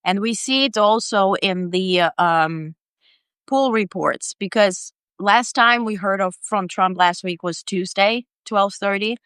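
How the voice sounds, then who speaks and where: A middle-aged woman from Ukraine, an independent journalist interviewed on a video call, talking in a halting way with many fillers. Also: medium pitch, a bit bright, clean tone, dry recording. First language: Ukrainian or Russian. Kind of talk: interview conversation.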